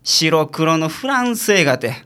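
A gruff male detective as played by an actor with a Kansai accent and very lively intonation. He is sardonic and dismissive, in the manner of comic radio drama. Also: medium pitch, a little slow. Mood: scornful. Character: grumpy, sardonic Kansai private detective, adult man.

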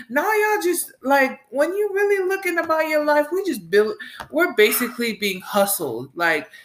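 An American woman in her late twenties, a mother and podcast host, talking through her week in a rambling, animated solo chat and laughing often. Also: on the high side. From United States. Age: late 20s. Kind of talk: casual solo monologue.

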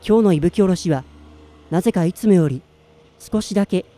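A man's voice: high voice, brisk, mellow, close to an everyday voice. An actor playing a listless, self-doubting man in his twenties in a radio drama, plain-spoken in narration and dialogue. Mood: reflective, subdued. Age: 20s.

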